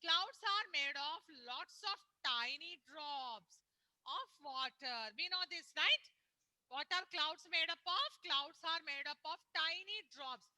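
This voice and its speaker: A woman teacher with an Indian English accent, explaining a lesson slowly to young children on a video call, repeating points and asking them questions. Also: high-pitched, expressive, bright, forceful tone, dry recording. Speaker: primary school teacher. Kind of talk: online class lesson. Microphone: laptop or phone on a video call.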